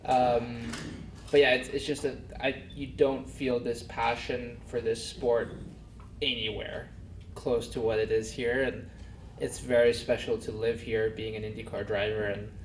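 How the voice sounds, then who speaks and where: A young man from Denmark talks casually as an interview guest, pausing on fillers and restarting his sentences. His voice sits at an ordinary pitch. He is picked up from a little way off the mic, in a roomy pub with some noise behind. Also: little pitch movement, far from the mic. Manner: soft-spoken, halting with many fillers. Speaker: guest, race car driver.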